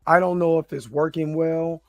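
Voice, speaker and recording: A man with a slightly hoarse voice hosting a livestream, talking casually in an informal, rambling, hesitant way. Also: a little high, steady tone, normal speed, darkish tone, no echo. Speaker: host of a livestream reaction show.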